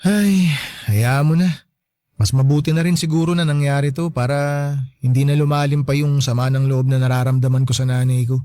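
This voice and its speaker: A man's voice: mid-range voice, steady tone, moderate pace, dark tone, no room sound, close to an everyday voice. A man with a Filipino accent playing a young working man, a hurt but resilient son, talking casually in a radio drama. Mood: hurt, resigned. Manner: casual, conversational. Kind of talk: Tagalog radio drama dialogue.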